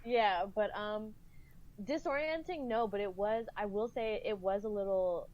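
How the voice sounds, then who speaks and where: A woman's voice: medium pitch, unhurried. Chatty woman on a lo-fi line. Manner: chatty and animated, laughing often, many "like" fillers. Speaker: guest cast member. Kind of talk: casual podcast chat.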